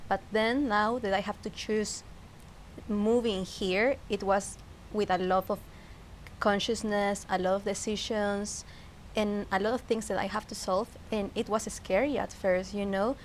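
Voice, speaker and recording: A woman's voice: mid-range voice, poor audio. A woman from Peru with a Peruvian Spanish accent, talking casually and reflectively as a guest, searching for her phrasing in English. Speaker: interview guest. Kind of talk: casual personal conversation. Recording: clear background noise, ambience.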